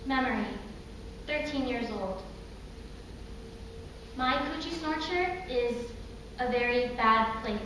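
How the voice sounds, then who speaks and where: An actress playing a woman recalling her troubled girlhood in a dramatic, halting stage monologue, with childlike fright, recorded in an echoey hall. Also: fairly high pitch. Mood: subdued, bleak. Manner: dramatic, halting, vividly acted delivery. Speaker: stage performer. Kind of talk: theatrical monologue. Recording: echoey hall from far off, faint noise.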